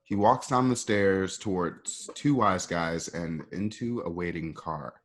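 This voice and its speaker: A man narrating a radio play, reading out scene directions in a conversational way with a husky voice. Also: low-pitched, measured pace.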